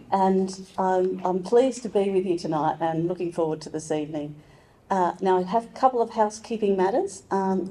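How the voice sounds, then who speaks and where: Woman MC, lowish voice, mellow, chatty and self-deprecating, lots of ums. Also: poor audio. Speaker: university librarian and MC. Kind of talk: event hosting and housekeeping announcements.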